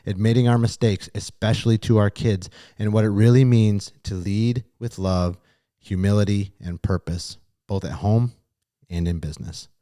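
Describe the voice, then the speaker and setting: Low-voiced male podcast host. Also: fairly even intonation, normal speed, mellow. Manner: upbeat, laughs often. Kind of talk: read sponsor intro, then casual chat. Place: small studio.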